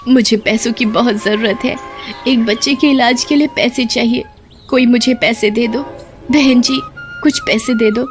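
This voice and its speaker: A woman voicing a poor, distraught woman who pleads desperately in an animated story. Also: fairly high pitch, unhurried, faint music behind. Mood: begging. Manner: pleading, tearful. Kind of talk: animated Hindi story acting.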